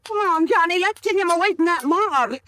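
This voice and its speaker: A woman's voice: very high-pitched, expressive, fairly quick, bright, forceful tone, heavily acted. An actress playing a pert, spirited young female sidekick in a 1950s radio drama. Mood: eager, concerned. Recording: old radio recording with crowd behind.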